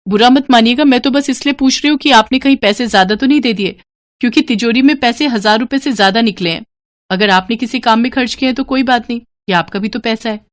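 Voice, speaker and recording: A woman's voice: on the high side, quick pace, voice pushed up, close to an everyday voice. A woman voicing a kind, dutiful young daughter-in-law in a story, polite and solicitous. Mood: tactful.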